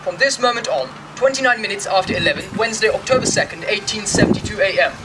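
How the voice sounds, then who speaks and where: A young man as a cold, exacting gentleman. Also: sits high, animated, quick pace, noisy background. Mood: stern. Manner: clipped, formal, exacting. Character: precise, cold English gentleman, fussy about time. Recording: distant mic, open-air theatre.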